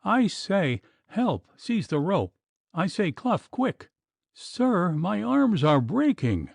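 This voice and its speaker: A man voicing a small, panicky young gentleman who lisps and is out of breath. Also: on the high side, wildly swooping pitch, takes it slowly, creaky, no echo, clean studio sound, pitched above the performer's own voice, full character voice. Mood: frantic. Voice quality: lisping. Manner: frantic, pleading.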